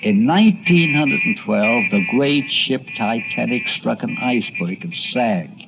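A man's voice: medium pitch, lively intonation, measured pace, vocal fry, loud music behind the voice. A man reading scripted narration for a radio documentary. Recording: dull lo-fi radio documentary audio.

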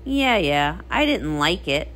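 A woman's voice: deep voice, very animated, slow pace, fairly bright tone, heavily acted. Woman as cartoon sister pony, cool, practical, dry, matter-of-fact, varied intonation. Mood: dry, serious.

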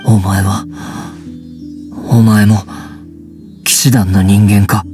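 A man voicing a wary teenage boy raised by wolves in an anime game, speaking in halting, terse fragments. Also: on the low side, flat delivery, music in the background. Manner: halting, terse broken phrases. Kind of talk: anime video game voice acting.

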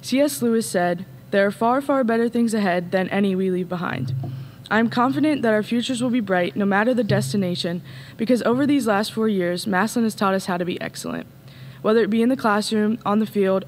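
A woman's voice: mid-range voice, lively intonation, fairly quick, a little hiss or hum. A teenage girl earnestly reading her graduation speech at a podium in an empty auditorium. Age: late teens. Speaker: student speaker. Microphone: podium mic.

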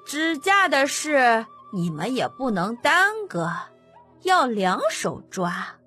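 A woman voicing a sly, self-assured little boy emperor in a childish, drawn-out voice for an audiobook. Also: on the high side, sing-song, pushed and bright, husky, soft background music, exaggerated voice. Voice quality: childish, babyish voice.

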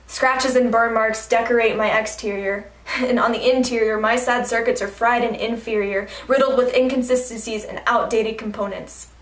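A woman reciting slam poetry in a dramatic, deliberate, rhythmic delivery. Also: on the high side, fairly even intonation. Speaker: slam poet. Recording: echoey room, distant mic.

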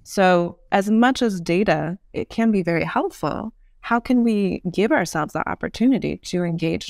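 A woman's voice: fairly low pitch, gravelly, a little mellow, no echo, studio quality. A woman giving thoughtful, articulate explanations as a guest in an interview conversation. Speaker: podcast guest, urban design expert.

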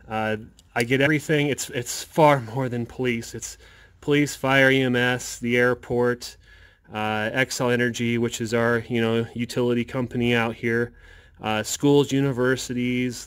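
A man with a mid-pitched voice gives an informal tech walkthrough. He sounds like a hobbyist presenter explaining his own setup step by step. He is recorded on a handheld phone from behind the camera. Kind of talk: casual hands-on tech walkthrough.

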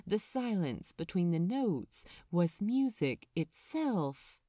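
A woman narrating scripted fan fiction as an audiobook reader, in a measured, expressive way with deliberate pauses. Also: lowish voice, dry recording, clean studio sound.

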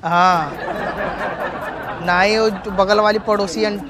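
A young man with a noticeably high voice and a Bhojpuri accent talks in a cheeky, rustic, teasing way, as a prankster chatting on the phone. He is recorded outdoors, with music underneath. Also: steady tone, slow, a crowd in the background. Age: young adult. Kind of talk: staged loud phone chat for a street prank. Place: outdoors in a leafy park.

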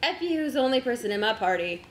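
A young woman streamer chatting casually with friends while setting up a game, her voice going hoarse from a long day of talking. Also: on the high side, sing-song, quick pace, roomy sound, slight background noise. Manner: distracted, talks to herself while navigating menus.

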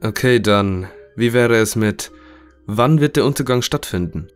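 A man's voice: mid-range voice, a little slow, clear, resonant tone, faint music behind, lightly acted. A man playing a polite research scientist who interviews test subjects in a scripted audio drama. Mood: calm, inquiring.

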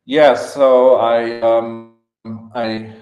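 A man with a German accent telling his story casually as a podcast guest, in halting phrases with repeated words. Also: mid-range voice, level tone, a little mellow, dull audio, soft background music. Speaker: podcast guest, events entrepreneur. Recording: roomy, echoing podcast audio.

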